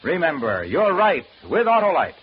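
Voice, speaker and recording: A man's voice: on the high side, very animated, moderate pace, heavy background noise. An American man announcing in a polished, emphatic 1940s commercial radio style. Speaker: radio announcer and sponsor pitchman. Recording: muffled vintage radio recording with music.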